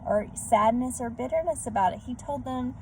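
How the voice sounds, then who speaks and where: A woman reading a storybook aloud to children in a gentle manner, pausing to put questions to her young listeners. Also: fairly high pitch, low-quality recording. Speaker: read-aloud host for children. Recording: background noise.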